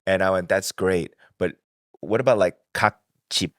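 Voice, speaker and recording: A man who is a comedian, telling a story in casual chat with halting restarts. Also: low voice, no room sound, studio quality. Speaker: comedian guest.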